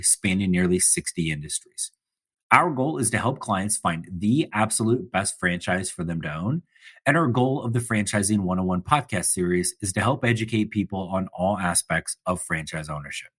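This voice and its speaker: A man's voice: lowish voice, animated, normal speed, slightly rough. A man hosting a business podcast interview, chatty and casual, with many fillers and restarts. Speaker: podcast host.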